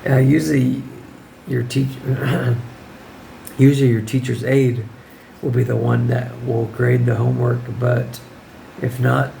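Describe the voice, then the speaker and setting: Hoarse, gravelly-voiced man giving teaching advice. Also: medium pitch, flat delivery, measured pace, long pauses, warm tone, a little hiss or hum, rough recording. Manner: informal, firm, checks in with "okay?". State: hoarse, losing his voice. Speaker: English teacher. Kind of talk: casual advice vlog.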